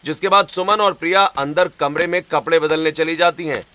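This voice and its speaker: A man narrating a cartoon story in a steady, descriptive manner. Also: on the high side, a little fast.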